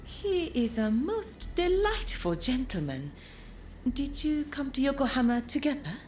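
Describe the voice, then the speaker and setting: An actress playing a refined, knowing hostess of a pleasure district in a video game, speaking politely and teasingly. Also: female voice, high voice, sing-song, measured pace, a little mellow, a little hiss or hum. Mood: amused, curious. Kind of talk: video game cutscene acting.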